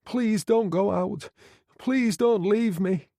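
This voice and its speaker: A man's voice: high voice, takes it slowly, dark tone, no room sound, studio quality, voice pushed up. A man voicing a frightened young female prostitute who pleads and sobs. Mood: pleading. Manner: pleading, sobbing.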